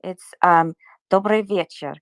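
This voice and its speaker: Chatty woman guest with a low, smooth voice; dry close sound on a call. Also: unhurried, dry recording. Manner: chatty, laughs easily, trails off with fillers. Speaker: guest speaker. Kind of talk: casual chat on a live audio space.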